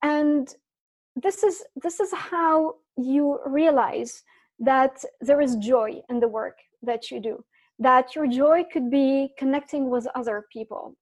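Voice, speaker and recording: High-voiced woman from Syria. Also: slow. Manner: animated storyteller, many restarts. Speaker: guest. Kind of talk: casual interview chat.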